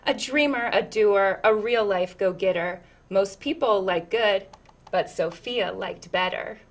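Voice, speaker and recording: A woman's voice: mid-range voice, a little slow. A woman reading a children's picture book aloud expressively, with chatty asides and questions to her listeners.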